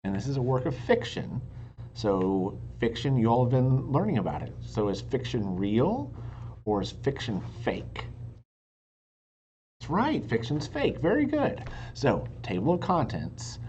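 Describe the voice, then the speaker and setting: A woman's voice: rumbling low, sing-song, unhurried, dark tone. A woman reading a children's storybook aloud expressively, like a teacher addressing young pupils. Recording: muffled sound with faint noise.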